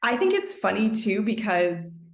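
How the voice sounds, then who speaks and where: A woman's voice: very animated, measured pace, echoey room. A woman talking at length as a podcast guest, thoughtful and expansive, with many "like" fillers. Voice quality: trained singer, speaks lower than her singing range. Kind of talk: conversational interview.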